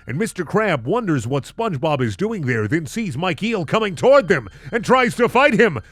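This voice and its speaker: A man's voice: a little high, a bit bright. A man hosting a comedic reading of bad fan fiction, narrating with incredulous, sardonic asides. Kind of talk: comedic narration with sarcastic commentary.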